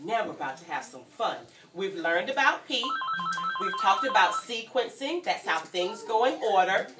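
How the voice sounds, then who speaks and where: A woman reading a story aloud to young children in an animated, teacherly way, prompting them to join in and breaking into sung refrains. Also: medium pitch, normal speed, heavy background noise. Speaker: guest story-time reader for young children. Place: indoor room at a childcare centre. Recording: echoey room, distant mic, children around.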